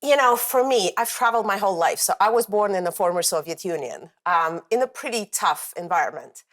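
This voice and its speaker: Woman from Romania, middle-aged, interview guest, passionate, emphatic, big pitch swings. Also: expressive, a little fast. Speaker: guest, corporate coaching leader. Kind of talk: conversational interview.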